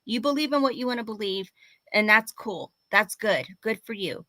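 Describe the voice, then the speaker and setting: A woman's voice: medium pitch, lively intonation, moderate pace, sharp tone, no room sound. A congested woman co-hosting a casual chat, who speaks in a playful, emphatic way. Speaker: co-host.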